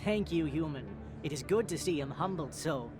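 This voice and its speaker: Sly alien merchant, voiced by a man, high sing-song patter over game music. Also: expressive, normal speed, close to an everyday voice. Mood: gloating. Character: shifty alien shopkeeper, sly and nervous.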